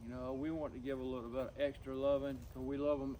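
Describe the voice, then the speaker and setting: A middle-aged man talking personally to camera, subdued and grieving, in a halting, plain-spoken, folksy way. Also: medium pitch, little pitch movement, dark tone, slight background noise. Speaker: outdoors vlogger.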